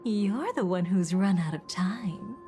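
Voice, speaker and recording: A voice actress playing a sly, seductive, villainous femme fatale in a video game, taunting in a drawn-out, theatrical way. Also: female voice, medium pitch, expressive, warm tone, faint music behind. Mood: cold, smug.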